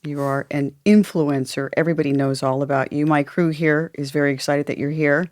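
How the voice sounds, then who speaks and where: Woman host, slightly low pitch, enthusiastic, dry close sound. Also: brisk, dry recording. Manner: enthusiastic, animated questioning. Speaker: podcast host. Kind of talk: casual interview chat.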